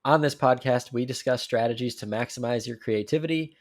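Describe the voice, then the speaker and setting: A man co-hosting a casual podcast, congested, talking in an animated, joking way. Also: mid-range voice, fairly quick. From New Jersey. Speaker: podcast co-host.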